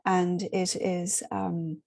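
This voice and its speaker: A hoarse woman with a sore throat, gently leading an online spiritual group in a rambling, casual way with many pauses. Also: fairly low pitch, level tone. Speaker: spiritual workshop host.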